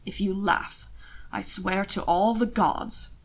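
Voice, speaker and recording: A woman voicing an intense, teasing aristocratic husband in a formal, measured way for an audiobook story. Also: mid-range voice, steady tone. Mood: stern, indignant. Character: aloof, dignified aristocratic husband, teasing, intense alpha man.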